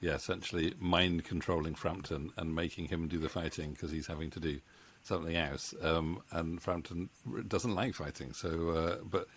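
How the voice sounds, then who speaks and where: A middle-aged man hosting a casual interview in a halting, hesitant way, close on a studio mic. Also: low voice, steady tone, fairly bright tone, a little hiss or hum. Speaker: interview host, voice actor. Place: small home room with bookshelves. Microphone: studio mic on boom arm, close.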